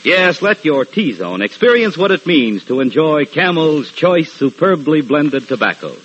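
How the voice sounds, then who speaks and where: Animated husky male radio pitchman, vintage. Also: a little slow. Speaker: radio announcer and sponsor spokesman. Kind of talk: 1940s radio advertising pitch. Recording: dull, lo-fi old radio recording.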